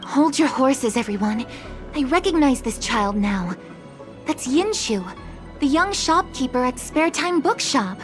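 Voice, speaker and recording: A composed female official in a video game. Her fairly high voice stays courteous and measured, with a formal, helpful delivery. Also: animated, faint music behind.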